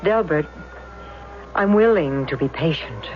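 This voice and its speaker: Insistent wife, animated radio-drama voice. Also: female voice, low voice, faint music behind. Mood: probing. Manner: coaxing, deliberate, pressing with questions. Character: insistent, solicitous, probing wife managing her troubled husband. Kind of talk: 1970s radio drama acting. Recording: dull vintage radio recording.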